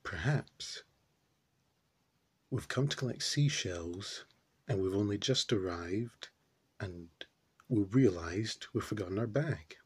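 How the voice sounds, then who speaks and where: A man playing a puzzled, brooding character in a theatrical stage monologue, pondering haltingly with deliberate pauses. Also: on the low side, animated, a little mellow, husky, full character voice. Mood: puzzled musing.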